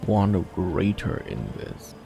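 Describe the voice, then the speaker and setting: Low mellow guy doing casual gaming commentary, bit of fry in the voice. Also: big pitch swings, a little slow. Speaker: gaming channel host. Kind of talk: casual gameplay commentary, reading game dialogue aloud. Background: faint game music.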